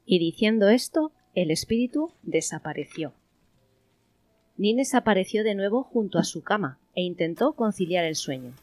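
A woman's voice: medium pitch, lively intonation, normal speed, a little mellow, no room sound, faint music behind. A woman with an accent from Spain, narrating an amateur radio play as a scripted story.

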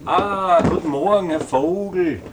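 A man's voice: on the high side, unhurried, lightly acted. A man playing a jovial, attentive country innkeeper in a radio drama, with an Austrian accent and a cheery, solicitous manner. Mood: cheerful, welcoming. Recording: clear background noise.

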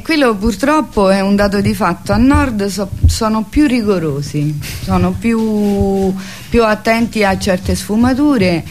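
A woman's voice: medium pitch, slight background noise. A woman being interviewed as a theatre artist, talking conversationally and reflectively, searching for her words.

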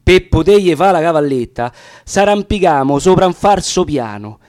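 A man's voice: fairly high pitch, animated, moderate pace, a little mellow, no echo, studio quality. A man with a Roman accent reciting dialect verse for radio in a theatrical, impassioned storytelling manner. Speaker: reader of dramatic verse.

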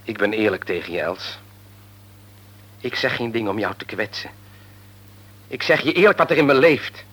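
An actor playing a middle-aged grieving father in a radio play, bitter and argumentative, sinking into quiet despair. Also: lowish voice, sing-song, normal speed, long pauses, husky, heavily acted, rough recording. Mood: subdued.

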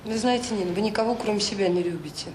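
An actress in an audio drama playing a firm, principled head of a children's home, speaking sternly. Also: female voice, medium pitch, rapid-fire, darkish tone, close to an everyday voice. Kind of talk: Soviet radio drama acting. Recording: echoey, noisy radio-play recording.

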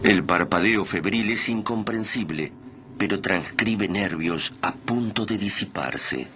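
Low-voiced solemn male narrator. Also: lively intonation, slow. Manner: solemn, literary delivery. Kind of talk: dramatic scripted radio narration. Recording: clear background noise and ambience.

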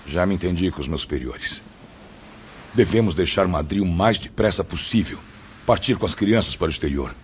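A hunted, honorable family man in a radio play. His voice is low, grave and urgent, with faint noise behind. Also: lively intonation, fairly quick. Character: persecuted, honorable husband and father, anxious. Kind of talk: radio drama acting.

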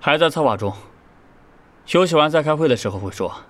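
A man's voice: fairly high pitch, expressive, brisk, fairly bright tone. An actor playing a businesslike boy-band manager in an audio drama, instructing in a matter-of-fact way.

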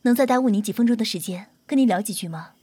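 Woman playing a composed, husky celebrity manager. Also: mid-range voice, quick pace, mellow, close to an everyday voice. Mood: apologetic, polite. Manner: formal, composed, polite. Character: courteous, protective female celebrity manager. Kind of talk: audio drama acting.

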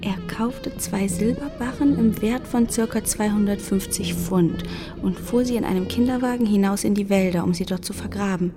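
Actress as female radio-drama narrator on a search, gravelly, measured, music underneath. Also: medium pitch, close to an everyday voice.

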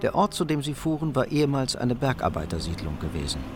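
An actor narrating a hard-boiled crime radio play in a sober, scripted storytelling style. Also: male voice, medium pitch, big pitch swings, fast talker, music in the background, close to an everyday voice. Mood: sober, suspenseful.

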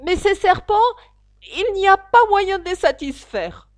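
A woman voicing an anxious, shrill female pigeon guarding her eggs, shrieking and scornful. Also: expressive, a little slow. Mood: aggrieved.

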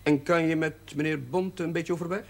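Patient Dutch priest. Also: male voice, a little high, steady tone, creaky. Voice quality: measured, gently stern. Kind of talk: 1970s TV drama acting.

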